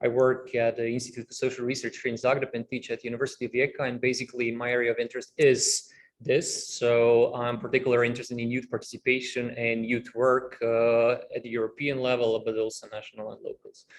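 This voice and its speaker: A man on a conference panel, explaining at a measured pace with frequent fillers and restarts. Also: mid-range voice, monotone. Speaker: panelist, youth studies researcher. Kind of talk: panel discussion answers. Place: conference room. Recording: echoey room, faint ambience.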